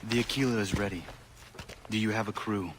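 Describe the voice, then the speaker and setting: An actor playing a resolute young warrior in a video game, terse, earnest and formal, with deadpan delivery. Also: male voice, lowish voice, fairly quick, noisy background, lightly acted. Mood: calm, determined. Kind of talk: dramatic video game acting.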